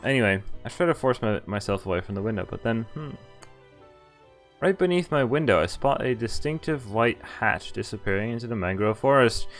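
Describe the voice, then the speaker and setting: A man's voice: medium pitch, expressive, a little fast. A young man live-streaming a game, switching between reading narration aloud and casual, jokey, hesitant asides. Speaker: streamer playing and reading a visual novel. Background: game music.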